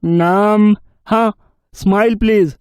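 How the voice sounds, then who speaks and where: Man doing a high-pitched troubled young friend. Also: steady tone, slow pace, smooth, no room sound, studio quality. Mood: uneasy. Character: troubled young male friend recounting eerie events. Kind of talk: animated horror story character dialogue.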